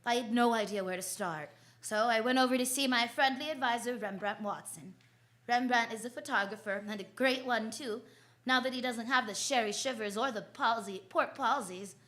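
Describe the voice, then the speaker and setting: Actress as wry gal sleuth, 1940s radio drama. Bright, forceful, fairly high voice, breezy and confident. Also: female voice, normal speed, natural voice. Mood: breezy, confiding. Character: wisecracking, confident woman sleuth narrating her case. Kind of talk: 1940s radio detective acting.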